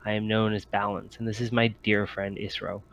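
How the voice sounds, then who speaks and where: A man's voice: fairly low pitch, little pitch movement, a little fast, creaky, fairly bright tone. A man voicing an eagerly welcoming, formal cult leader in a tabletop game. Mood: grand welcome.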